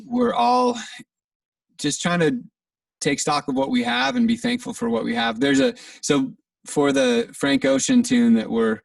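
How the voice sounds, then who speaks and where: A man with a gravelly, rough edge and a lively, swinging pitch talks in an easygoing way, restarting his thoughts. He sounds set back from the mic in a dry, dead room. It comes across as a band member chatting with a radio host. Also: a little slow, no echo, distant mic, low-quality recording. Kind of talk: casual interview chat.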